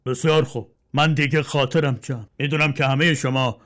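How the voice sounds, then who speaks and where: A man voicing a kind older father who gently instructs his sons, calm and earnest. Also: medium pitch, lightly acted.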